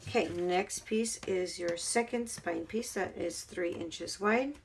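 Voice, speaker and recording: A hoarse woman chats her way through a craft tutorial at her worktable, her voice gravelly and rough. The camera mic picks up a noticeable hiss behind her. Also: on the low side, slow pace. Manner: chatty, talks while working with her hands. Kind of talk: casual craft tutorial narration. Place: craft table at home.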